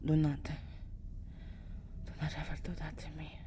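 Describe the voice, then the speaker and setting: A woman playing a proud, guarded, seductive vampire in an ASMR roleplay, speaking in a breathy, often whispered way with formal, haughty phrasing. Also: sits low, monotone, slow pace. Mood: flustered, stern. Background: ambient background sound.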